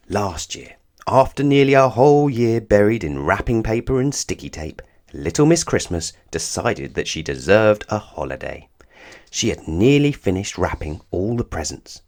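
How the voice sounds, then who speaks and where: A man reading a children's storybook aloud as narrator, with expressive, measured phrasing. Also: lowish voice.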